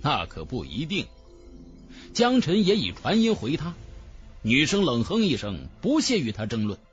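A man's voice: a little high, huge pitch swings, normal speed, dull audio, faint music behind. A man narrating a fantasy audiobook in a dramatic, scripted storytelling style.

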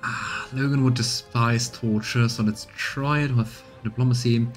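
A man's voice: mid-range voice, steady tone, a little slow. A man in his thirties giving casual let's-play commentary and reading out game text. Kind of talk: voiced gameplay commentary and dialogue reading. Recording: game music behind the voice. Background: game music.

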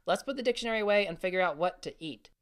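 A woman's voice: medium pitch, animated, very fast, close to an everyday voice. A woman playing a confident, teasing older brother, a boy, in a family audio drama. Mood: matter-of-fact. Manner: joking, teasing.

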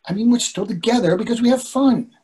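A man's voice: very high voice, fast talker, dark tone. A man in his sixties playing a dry, evasive husband with a questioning manner, in a play read over a video call. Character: dry, evasive older husband itching to escape.

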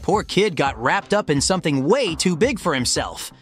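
A man's voice: a little high, very animated, normal speed. A man playing a young heroic hedgehog, cocky but worried, in a dramatic comic dub with casual, earnest delivery. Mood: determined. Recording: music behind the voice.

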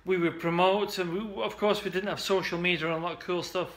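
Upbeat man pitching to camera. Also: steady tone, brisk, fairly bright tone. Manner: chatty and upbeat, with many restarts and "uh"s. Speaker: salon marketing coach. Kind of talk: casual direct-to-camera pitch. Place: indoor studio office.

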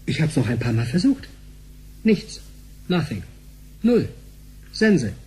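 An actor playing a cheeky, excitable young tech tinkerer in a radio drama, telling things animatedly in slangy talk with bits of English. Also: male voice, a little high, slow pace, husky, full character voice, rough recording. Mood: rattled. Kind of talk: German sci-fi radio drama dialogue.

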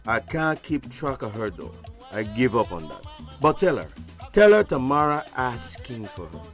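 A man acting in a radio drama as a stern, weary, dignified older gentleman with a Belizean Creole accent. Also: mid-range voice, sing-song, moderate pace, faint music behind. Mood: weary, resigned.

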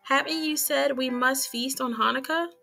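A woman reading as an earnest young boy who pleads for a stray dog. The voice is bright and clear, with faint music behind it. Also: sits high, moderate pace, full character voice. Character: kind-hearted young boy.

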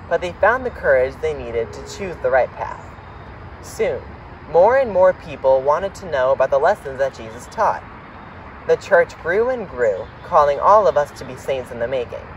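Higher-voiced young man reading aloud outdoors. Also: big pitch swings, moderate pace. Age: young adult. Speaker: reader. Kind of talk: children's picture book read-aloud. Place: outdoors in autumn, seated by shrubs. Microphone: camera several meters away. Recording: outdoor, distant mic, faint noise.

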